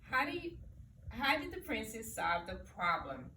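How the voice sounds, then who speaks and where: A woman's voice: fairly high pitch, big pitch swings, a little slow, sharp tone. A woman teacher leading a read-aloud lesson, posing questions to children and repeating them to prompt answers. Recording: echoey room, distant mic, faint noise.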